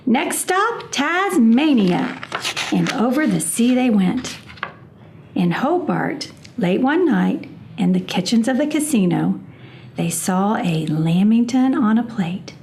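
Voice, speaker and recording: A woman reading a children's storybook aloud in a measured, expressive storytelling manner. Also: mid-range voice, gravelly, a little hiss or hum.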